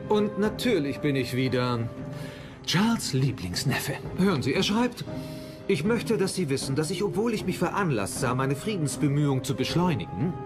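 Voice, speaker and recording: An actor playing a self-assured young Dutch prince in a historical docudrama, speaking formally and declaiming. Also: male voice, fairly high pitch, big pitch swings, moderate pace, music in the background. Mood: self-satisfied. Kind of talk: dubbed historical docudrama dialogue.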